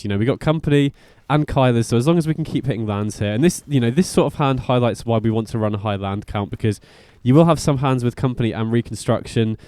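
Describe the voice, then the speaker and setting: A man's voice: medium pitch, mellow, no room sound. A man giving informal, slangy commentary as host of a card game guide. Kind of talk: casual gameplay commentary.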